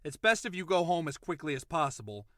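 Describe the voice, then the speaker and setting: A man playing a stern, weary sheriff in an audio drama, speaking in a terse, measured, guarded way. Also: a little high, very animated, fairly bright tone, no echo, studio quality. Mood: grave, urgent.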